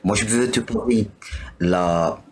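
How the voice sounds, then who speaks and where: A man whose first language is Arabic, calling into a live debate in halting speech and checking "d'accord?" over and over. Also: fairly low pitch. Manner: halting, keeps asking "d'accord?". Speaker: caller.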